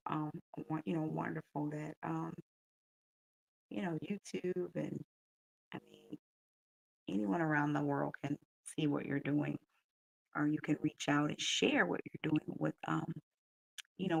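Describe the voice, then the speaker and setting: A middle-aged woman with a deeply gravelly, husky voice, still waking up and chatting softly as she colors. She wanders through her thoughts with plenty of ums, in an unhurried way. The sound is close and very dry, with no room around it. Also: fairly even intonation, dry recording, low-quality recording. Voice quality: raspy morning voice. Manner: soft, unhurried, thinking aloud with fillers. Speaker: coloring channel host. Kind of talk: casual early-morning coloring chat.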